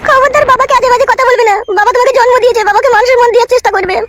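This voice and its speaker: A woman voicing a young wife in a comic cartoon, shrill and snappish with a defiant streak. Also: rapid-fire, heavy background noise. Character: defiant young wife, meek toward her father-in-law.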